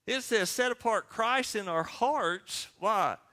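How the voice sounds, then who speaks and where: A man's voice: high voice, expressive, takes it slowly, bright, forceful tone. A man in his sixties preaching a sermon as pastor, speaking emphatically and posing questions that he answers himself. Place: church sanctuary.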